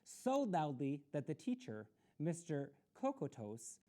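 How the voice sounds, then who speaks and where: A woman storyteller reads to children. Her voice is mellow and low, and she takes her time, with lively, expressive intonation. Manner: deliberate, with explanatory asides to children. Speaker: storytime reader.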